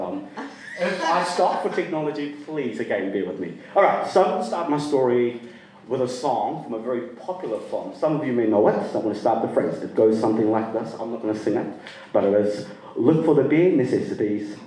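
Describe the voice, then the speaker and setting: A man's voice: medium pitch, darkish tone, distant mic. A man born in the UK and raised in Sri Lanka, telling his own story at a live storytelling night in a casual, rambling way and laughing easily. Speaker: storyteller guest. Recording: roomy echo, from off-mic.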